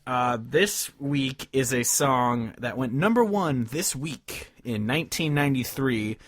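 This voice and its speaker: A man's voice: mid-range voice, a little slow, fairly bright tone, no echo. A man chatting casually and informally as a podcast host, swearing freely as he talks about music. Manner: profane, informal.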